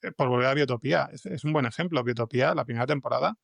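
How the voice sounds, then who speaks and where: Man, Spanish accent from Spain, gravelly fry, dry close sound, informal podcast guest, thinking aloud. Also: mid-range voice, racing, dry recording. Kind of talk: casual conversational interview.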